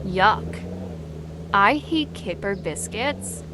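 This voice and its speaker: A woman voicing an excitable, bossy storybook witch, with exclamatory, emphatic delivery. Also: medium pitch, very slow, vocal fry, noisy background, exaggerated voice. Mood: cross, disgusted. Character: excitable witch, fussy and bossy pet owner.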